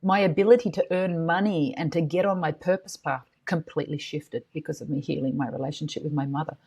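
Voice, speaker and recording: A middle-aged woman talks in a low, husky voice. She is earnest and passionate as a podcast guest, swearing lightly in casual speech. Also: fairly even intonation, fast talker, darkish tone. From Australia. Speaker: guest, school co-founder. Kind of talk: podcast interview.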